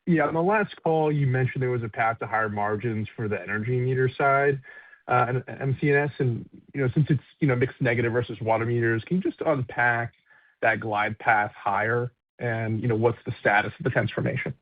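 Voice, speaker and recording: A man phones in as a financial analyst with a business question. His voice sits in a middle pitch range. The line is narrow and tinny, with a very dry sound. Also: brisk, no echo. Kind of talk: earnings call questions. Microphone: phone call.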